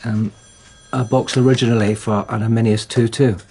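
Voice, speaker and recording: A man's voice: on the low side, level tone, moderate pace, darkish tone, husky. A man playing a practical local police detective in a radio drama, reporting in a methodical, matter-of-fact way. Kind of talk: naturalistic radio drama acting.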